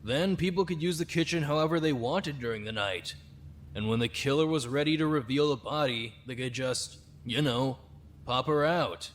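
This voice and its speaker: A man voicing a casual, speculating young man in an animated murder mystery. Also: medium pitch, normal speed, lightly acted. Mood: speculative. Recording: faint background noise.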